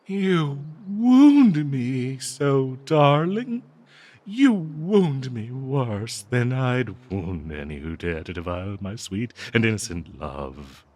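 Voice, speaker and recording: A man's voice: medium pitch, very animated, a little mellow. A man playing a cursed talking dagger, possessive, lovestruck and bloodthirsty, in a melodramatic, cooing audio roleplay addressed to the listener. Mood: giddy, adoring. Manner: melodramatic, cooing, slow drawn-out delivery with manic giggles.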